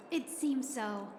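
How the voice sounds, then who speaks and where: A woman's voice: high voice, noisy background. A woman playing a polite, easily flustered young hotel receptionist in a video game, formal and apologetic. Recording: echoey hall reverb, loud music.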